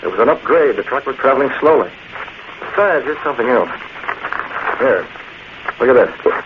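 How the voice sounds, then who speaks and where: An actor playing a shrewd, authoritative newspaper boss, firm and explanatory, in 1940s radio drama style. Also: male voice, medium pitch, animated, moderate pace, natural voice. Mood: shrewd, urgent. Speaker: newspaper executive. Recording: tinny, hissy 1940s radio recording.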